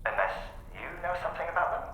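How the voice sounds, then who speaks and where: A man's voice: medium pitch, sing-song, fast, sharp tone, reverberant, a little hiss or hum, low-quality recording. A man voicing a polite, hesitant middle-aged crewmate who speaks properly and apologetically in a video game. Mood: hesitant, puzzled. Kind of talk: video game cutscene dialogue.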